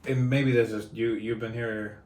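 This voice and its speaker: A man from Spain hosting a casual podcast conversation, chatty and quick to laugh. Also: mid-range voice, steady tone, a little mellow. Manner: chatty, laughs often, many restarts. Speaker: podcast host. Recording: echoey room with faint noise.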